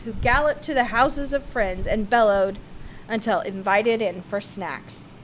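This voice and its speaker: A woman's voice: on the high side, a bit bright, a little hiss or hum, poor audio. A woman reads a children's picture-book biography aloud with theatrical, emphatic expression. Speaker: storybook reader.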